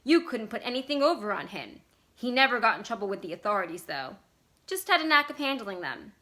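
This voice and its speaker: A woman's voice: on the high side, sing-song, pushed and bright. Woman voicing a folksy, brooding escaped convict man. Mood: reminiscing. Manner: folksy rural speech, musing and deliberate.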